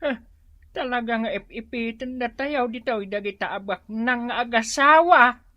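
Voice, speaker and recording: A complaining Ilocano neighbor, slow-talking. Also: female voice, a little high, no room sound. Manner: calls out loudly, complains. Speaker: radio drama actor. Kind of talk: radio drama acting.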